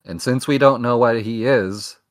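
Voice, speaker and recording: A man's voice: mid-range voice, moderate pace, dry recording, clean studio sound. A young man co-hosting a podcast, rambling casually with self-mocking jokes. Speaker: podcast co-host. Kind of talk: casual chat.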